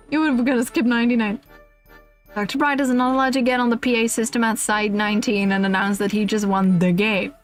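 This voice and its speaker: A woman's voice: fairly high pitch, steady tone, a little fast, faint music behind. A woman streamer reading a comic list aloud on a livestream, giggly and often breaking off into casual asides.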